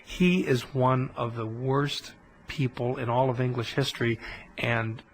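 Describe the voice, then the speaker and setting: A man giving a conversational history lecture on a podcast, telling it as an animated story with colloquial asides. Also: medium pitch, unhurried, a little hiss or hum, rough recording.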